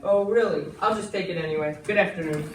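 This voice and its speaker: A man's voice: high-pitched, fast, natural voice. A man acting a pompous, scheming government land inspector in an amateur stage play. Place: indoor school stage. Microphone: distant audience camera. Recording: echoey school stage from far off, noisy.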